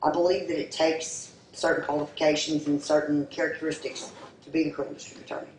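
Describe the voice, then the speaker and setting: A man's voice: a little high, little pitch movement, fast. A man giving an earnest campaign speech in a community hall. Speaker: political candidate. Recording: echoey hall from far off, faint hiss.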